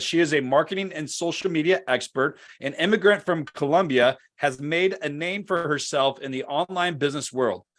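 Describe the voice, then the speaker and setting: A man's voice: a little high, fairly bright tone. A man hosting a business interview show, promoting his community and introducing a guest in an upbeat, conversational way. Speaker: podcast host.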